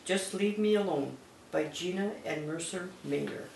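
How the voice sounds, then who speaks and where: A woman's voice: lowish voice, a little slow, gravelly, a little mellow. An older woman, a grandmother, reading a children's picture book aloud at home. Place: small living room at home, on a couch. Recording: roomy, distant mic, background noise.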